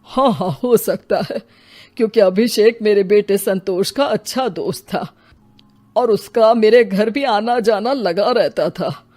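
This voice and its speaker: A woman's voice: medium pitch, a little slow, darkish tone, natural voice. A woman playing a grieving, weary old father in a dramatized story, speaking plaintively and respectfully. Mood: reflective. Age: older. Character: grieving, weary elderly father, polite and sorrowful. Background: music.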